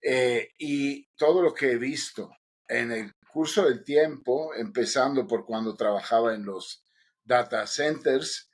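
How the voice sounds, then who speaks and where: Husky Italian-accented middle-aged man. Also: mid-range voice, slow. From Italy. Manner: jokey, easygoing, rambling. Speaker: podcast co-host. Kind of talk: casual podcast chat.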